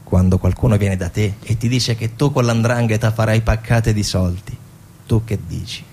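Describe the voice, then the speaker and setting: An actor playing a brash, cynical building contractor with shady ties, talking in a colloquial, persuasive way. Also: male voice, on the low side, fairly even intonation, normal speed, mellow, slight background noise, close to an everyday voice. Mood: insinuating. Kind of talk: theatrical dialogue.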